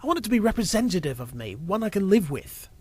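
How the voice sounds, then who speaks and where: A man's voice: high-pitched, very animated, fast talker, no echo, pitched above the performer's own voice. A man voicing an earnest young man in an audio story, a little defiant and unsure of himself. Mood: earnest, modest. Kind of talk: audio story character acting.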